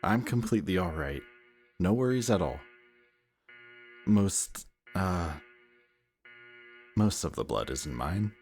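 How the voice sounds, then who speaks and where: A man acting a possessive, anxious antihero villain in an emotional audio roleplay, halting and intense as he mutters. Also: deep voice, lively intonation, darkish tone, heavily acted. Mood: relieved, frantic concern. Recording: music behind the voice.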